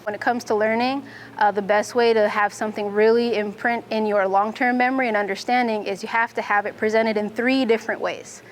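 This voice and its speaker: A young adult woman from Fiji, a Navy instructor, answering interview questions in a clear, methodical way. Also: mid-range voice, fairly even intonation, brisk, a little hiss or hum. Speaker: Navy nuclear instructor and student.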